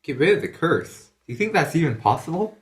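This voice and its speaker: A man's voice: a little high, very animated, a little fast. Man playing a sleepy, swooping young adventurer. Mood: weary. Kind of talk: audio drama acting.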